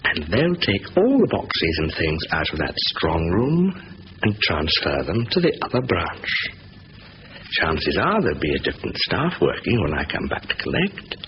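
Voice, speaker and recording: An actor playing a cool, sly, confident bank thief with playful patter in a 1970s radio drama. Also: male voice, deep voice, huge pitch swings, moderate pace. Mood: gloating. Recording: muffled, hissy old radio broadcast recording.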